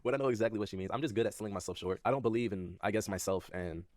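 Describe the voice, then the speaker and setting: Brief: young creator in his twenties, a man with a lowish voice who rushes his words, dry close sound. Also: no echo. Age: 20s. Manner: soft-spoken, self-doubting, laughs nervously. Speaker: content creator in a clip being reacted to. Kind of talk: candid personal talk to his audience.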